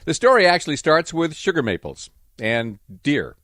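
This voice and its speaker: A male radio host narrates with a very animated, rising and falling pitch. The recording is close and dry, with no room sound, and he delivers his script like a storyteller. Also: normal speed, no room sound.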